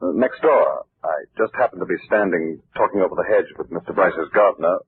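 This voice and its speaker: Nervy polite suspect, hesitant and defensive, lowish voice, scratchy narrow 1940s radio. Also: male voice, brisk. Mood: guarded. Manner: hesitant, guarded. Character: polite but defensive actor under police questioning. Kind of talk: 1940s radio drama acting. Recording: narrow, rough old 1940s radio recording.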